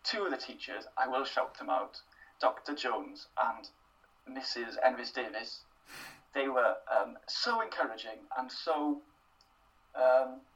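A man's voice: medium pitch, measured pace, a bit bright, distant mic, muffled recording. A young Welsh man answering interview questions in a thoughtful, self-deprecating way, with plenty of fillers and restarts. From Wales. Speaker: interview guest, writer. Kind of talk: casual interview.

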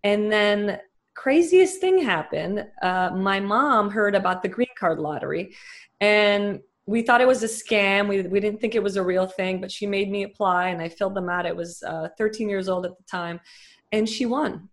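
A woman in her thirties, born to a family from Lebanon, telling her story as an interview guest in a lively, laughing way. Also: medium pitch, normal speed. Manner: bubbly, laughs often, animated. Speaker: guest, company founder. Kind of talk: casual interview over video call.

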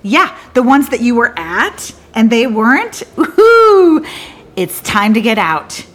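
An actress playing a disillusioned woman lawyer in a theatrical monologue, confiding in a halting, wry and bitter way with abrupt swings. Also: on the high side, very animated, a bit bright, heavily acted. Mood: wry alarm.

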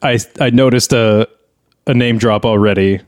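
A man's voice: medium pitch, fairly even intonation, normal speed, a little mellow. A man hosting a comedy podcast, giving casual, joking critique in conversation. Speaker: podcast host. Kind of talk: casual comic critique and banter.

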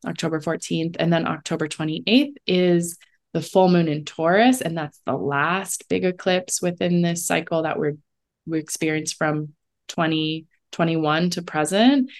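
Thoughtful woman with a lowish voice. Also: steady tone, unhurried. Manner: reflective, often says "like". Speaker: astrologer guest. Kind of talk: casual conversational interview.

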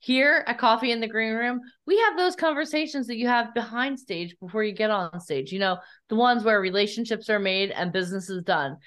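A woman's voice: on the high side, brisk, few pauses, fairly bright tone. A woman hosting a podcast, chatting in an effusive, friendly way with her guest. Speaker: podcast host. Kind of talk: casual interview talk.

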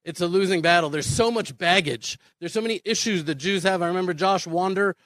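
American male advocate, high voice, dry close sound; impassioned, emphatic public speaking. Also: brisk, a bit bright, dry recording. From Houston, Texas, United States. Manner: earnest, emphatic, repeats phrases, rhetorical questions. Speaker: speaker advocating immigration to Israel. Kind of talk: impassioned conference speech.

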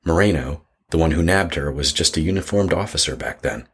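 A man narrates a scripted horror tale. His voice is exceptionally low, with a husky, rough grain throughout. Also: a little fast.

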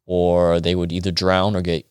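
A man with an American accent speaks in a very low register, recorded dry. He talks like a guest swapping stories with a friend, slangy and casual, slipping into quoted dialogue to act out what people said. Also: moderate pace, clean tone, no room sound. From United States. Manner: animated, colloquial, acting out quoted dialogue. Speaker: interview guest, acupuncturist. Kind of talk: casual conversational storytelling.

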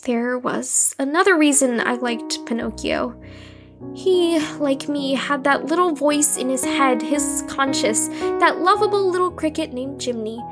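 A woman's voice: sits high, unhurried. A young woman playing a troubled, wistful psychiatric patient in an audio drama, talking in an earnest, wry, confessional way. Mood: bitter, yearning. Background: music.

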